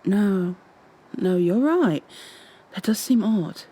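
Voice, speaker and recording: A woman's voice: mid-range voice, expressive, slow pace, dark tone, husky, rough recording. A woman playing a proud, exasperated goo girl special agent with prim, formal wording in an ASMR roleplay. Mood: puzzled. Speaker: voice actor in an audio roleplay.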